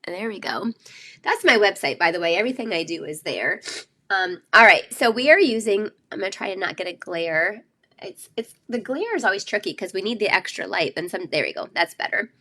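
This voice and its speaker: An older woman talks her viewers through a craft class from her home craft room, rambling with plenty of ums and asides. Her voice is bright and forward, with a slight nasal stuffiness left over from a cold. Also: medium pitch, big pitch swings, fairly quick. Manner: chatty, rambling with many fillers. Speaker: craft show host. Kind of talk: live-streamed craft class chat.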